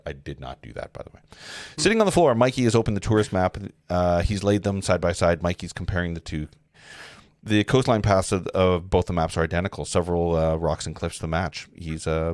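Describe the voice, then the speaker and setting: Deep-voiced man narrating a script. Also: a little fast, a little mellow, no echo, studio quality. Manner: informal, joking, stumbling over lines. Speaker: stage-direction narrator. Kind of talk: live script reading with casual asides.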